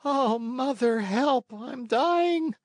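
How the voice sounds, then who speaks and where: A man's voice: extremely high pitch, animated, extremely slow, dry recording, studio quality, pitched above the performer's own voice, heavily acted. A man voicing a terrified, faint schoolboy crying out with a wailing manner in a children's storybook. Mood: faint, panicked. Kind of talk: animated children's storybook read-along.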